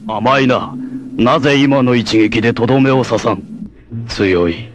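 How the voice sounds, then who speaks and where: A man's voice: medium pitch, a little slow, background noise, close to an everyday voice. A man voicing a noble, self-sacrificing blind warrior leader in a 1980s anime, with a solemn, formal, declamatory delivery. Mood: composed, grave. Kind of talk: 1980s anime martial-arts voice acting.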